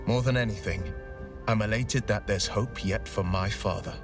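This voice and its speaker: A man plays a noble fantasy game hero. He speaks sincerely and eloquently in a lowish voice, slightly distant, over loud music. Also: normal speed, lightly acted. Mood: earnest, hopeful. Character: noble, earnest heroic male fantasy adventurer. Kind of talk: video game voice acting.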